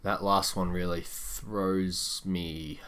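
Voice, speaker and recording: A man co-hosting a sports podcast, talking through basketball in a casual but measured, explanatory way. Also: sits low, level tone, noisy background.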